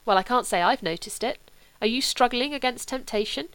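A woman's voice: on the high side, fairly quick, fairly bright tone, clear, resonant tone, dry recording, natural voice, rough recording. A woman reading an audiobook, voicing a skeptical young Englishman who argues in a dry, reasoning way.

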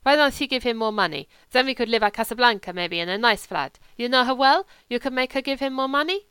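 A wolfish, lolling young German man with a slight lisp, tossing out offhand broken English, as a woman puts him on. The voice is clear and smooth. The recording is close and dry. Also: fairly high pitch, animated, fast, sharp tone, no room sound, pitched above the performer's own voice. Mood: wheedling. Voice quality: lisp from a missing tooth.

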